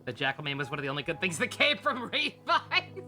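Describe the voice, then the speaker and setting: A man's voice: a little high, big pitch swings, a little fast, pushed and bright. A man giving casual, joking, rambling live commentary as a game streamer. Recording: clear game music behind the voice.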